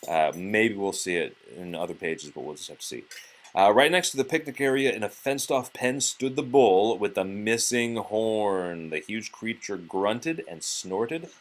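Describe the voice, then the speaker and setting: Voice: mid-range voice, lively intonation, normal speed. A parent reading a storybook aloud to a child and chatting about it along the way.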